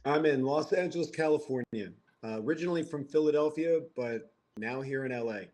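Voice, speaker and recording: A man's voice: fairly high pitch, fairly quick, darkish tone. A man in his fifties from Pennsylvania, a podcast guest telling his story with wry, self-mocking humor. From Pennsylvania, USA. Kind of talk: casual interview storytelling.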